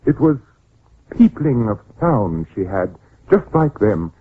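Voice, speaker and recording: Stern, secretive father in a radio chiller: formal, husky, highly animated, narrow old broadcast sound. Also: takes it slowly, full character voice. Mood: confiding, dread. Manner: formal, melodramatic delivery. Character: stern, secretive widower father, dreading and grief-struck. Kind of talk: old-time radio suspense acting. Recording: narrow, tinny vintage radio recording.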